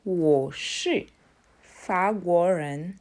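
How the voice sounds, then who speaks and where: A woman teaching a casual language lesson, repeating words slowly and explaining each in turn. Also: darkish tone. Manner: drills tones in a high voice.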